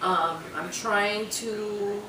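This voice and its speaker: A woman's voice: mid-range voice, fairly even intonation, drawn-out words, crowd noise. Young woman, rambling casual vlog, home kitchen, distant mic, echoey room. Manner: chatty, rambling with fillers and restarts. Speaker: fitness vlogger. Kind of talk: casual meal prep vlog. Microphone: camera on counter a few feet away. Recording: roomy, distant, noisy camera audio.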